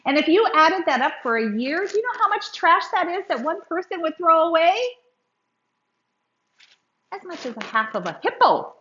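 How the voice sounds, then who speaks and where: A woman reads a picture book aloud in a high, very animated voice. She lingers on words for dramatic emphasis, as a storyteller does for young children. The sound is a little dull and lo-fi. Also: normal speed, pauses often, roomy sound.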